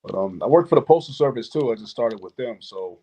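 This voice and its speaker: A man's voice: medium pitch, expressive, brisk, dark tone, husky. A man in his thirties from Boston, chatting casually as a guest in informal, slangy speech. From Boston, United States. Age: 30s. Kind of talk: casual chat.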